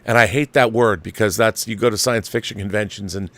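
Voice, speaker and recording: A man's voice: on the low side, fairly even intonation, brisk, a bit bright, no echo. A man hosting a livestream, giving casual, opinionated film commentary with a theatrical showman's turn of phrase.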